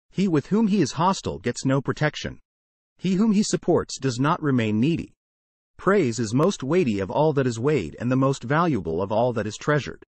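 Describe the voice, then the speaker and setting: A man reads a religious text in a measured, declamatory way, his pitch rising and falling with lively emphasis. The voice is close and dry, with no room sound around it. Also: no room sound. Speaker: sermon reader. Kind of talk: solemn scripted narration over slideshow.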